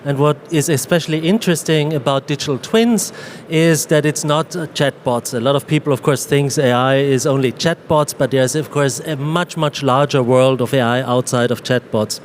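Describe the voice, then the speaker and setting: A man from Austria with an Austrian accent, answering as a panelist in a fluent, explanatory way and slowing on key terms. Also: medium pitch, slight background noise. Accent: Austrian German. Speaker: panelist, AI executive.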